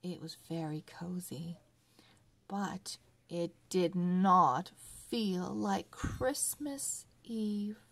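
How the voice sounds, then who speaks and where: A woman storyteller reading a children's story aloud in a deliberate, word-by-word read-along style for early readers. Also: on the low side, gravelly.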